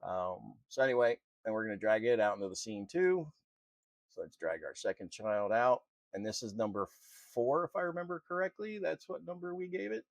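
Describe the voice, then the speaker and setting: A man presenting an unscripted software tutorial in an informal, halting, step-by-step way. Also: medium pitch, creaky, no room sound, rough recording. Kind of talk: unscripted screen-recorded walkthrough.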